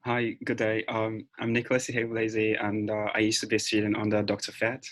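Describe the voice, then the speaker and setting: Low, flat young man. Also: fairly quick, no room sound. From Nigeria. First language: Igbo. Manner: relaxed, with frequent "um"s. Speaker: presenter, research scientist. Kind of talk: casual presentation. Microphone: video call.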